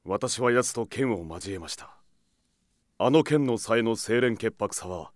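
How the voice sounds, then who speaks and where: An actor playing a loyal, steadfast warrior, speaking earnestly, formally and with composure in an audio drama. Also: male voice, lowish voice, animated, a little slow, no room sound, studio quality. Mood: composed, earnest.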